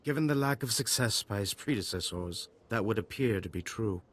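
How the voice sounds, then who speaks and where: An actor playing a pompous, excitable and callous eccentric scientist in a video game, with theatrical delivery. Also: male voice, lowish voice, fairly quick. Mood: blithe, dismissive. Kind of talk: video game character dialogue.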